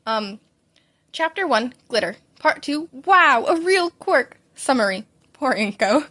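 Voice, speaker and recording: Higher-pitched woman reading a story informally. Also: very animated, takes it slowly, sharp tone. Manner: informal, stumbles and rereads lines. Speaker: fan fiction podcast reader. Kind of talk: informal read-aloud story narration.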